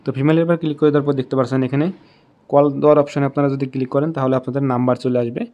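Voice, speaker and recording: A man's voice: mid-range voice, level tone, rapid-fire, warm tone, highs cut off. A man with a Bangladeshi accent narrating a step-by-step app tutorial as host, in repetitive instructional phrasing.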